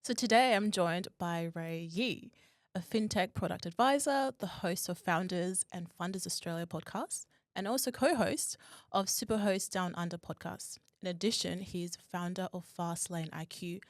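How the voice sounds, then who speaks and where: A woman's voice: fairly low pitch, normal speed, no room sound, clean studio sound. A woman hosting a podcast interview in a conversational style. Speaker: podcast host.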